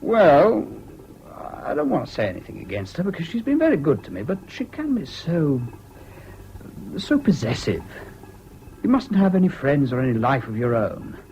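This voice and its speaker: An actor in a British radio drama playing a troubled, excitable, self-doubting young man with an English accent and an earnest manner. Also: on the high side, normal speed. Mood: petulant. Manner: hesitant, earnest, swinging between gloom and giddy excitement. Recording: dull, hissy old radio recording.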